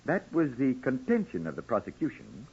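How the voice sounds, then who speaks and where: An actor playing a kindly, retired old judge in 1940s radio drama style, courteous and deliberate, with hesitant phrasing. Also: male voice, mid-range voice, wildly swooping pitch, a little mellow, husky, heavily acted. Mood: measured, explanatory. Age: older. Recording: dull old radio recording.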